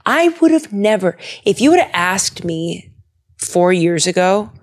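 A young woman hosting a podcast, chatting in a bubbly, animated way and laughing often. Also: fairly low pitch, a little slow. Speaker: podcast host. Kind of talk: casual chat about the Bible.

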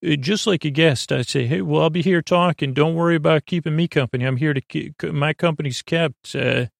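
A man hosting a bedtime podcast in a meandering, halting monologue, with a gravelly voice and a lulling, soothing manner. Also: on the high side, no echo, clean studio sound. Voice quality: gravelly, creaky. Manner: rambling, halting, self-interrupting. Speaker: podcast host.

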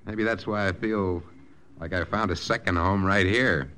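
An actor playing a friendly, chatty young American corporal in an old radio drama. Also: male voice, low voice, fairly even intonation, normal speed, sharp tone, slight background noise. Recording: old radio broadcast with music.

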